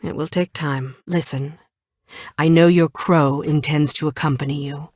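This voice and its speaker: A woman's voice: low voice, steady tone, unhurried, a little mellow, no room sound, clean studio sound. A woman playing a stern, loyal military commander in a video game, with a firm, dutiful delivery. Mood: firm, serious. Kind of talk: scripted video game acting.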